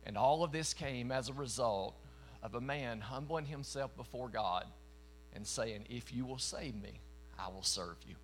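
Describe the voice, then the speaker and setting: A man in his forties from East Tennessee with a Southern accent, preaching a sermon to a congregation in a folksy, emphatic way that swells into louder bursts. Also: mid-range voice, moderate pace, slight background noise, low-quality recording. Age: 40s. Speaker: traveling preacher. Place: church meeting in front of a congregation.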